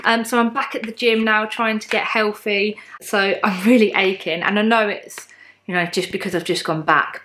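A woman's voice: lowish voice, bright, forceful tone, slight background noise. Chatty woman showing her shopping haul. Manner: chatty, upbeat, lots of ums, shows each item to camera. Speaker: haul vlogger. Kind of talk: casual chatty product haul. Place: bright home living room, indoors.

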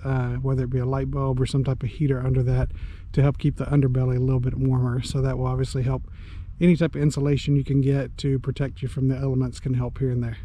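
Southern middle-aged man, mellow and even-toned, casual how-to vlog, faint outdoor hiss. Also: medium pitch. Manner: easygoing, plain-spoken, with frequent uh fillers. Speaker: RV vlogger. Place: outdoors at a snowy campground and inside an RV.

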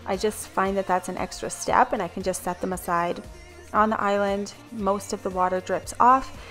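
A woman in her thirties talks through her cleaning routine in an easy, chatty voice-over, wandering into little asides as she goes. Her voice sits at a mid pitch, and soft music plays faintly underneath. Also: normal speed. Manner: chatty, rambling, relaxed asides. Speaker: cleaning vlogger. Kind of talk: casual voice-over narration.

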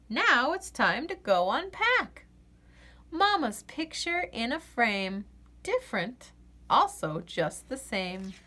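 Animated, high-voiced woman reading a picture book. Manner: expressive, sing-song rhyming delivery for young children. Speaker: children's story reader. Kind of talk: read-aloud picture book narration.

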